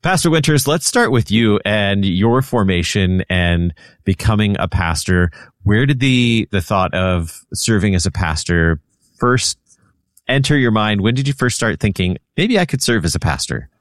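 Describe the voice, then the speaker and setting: Low-pitched male radio host, dry studio sound. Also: expressive, normal speed, dry recording. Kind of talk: radio interview hosting.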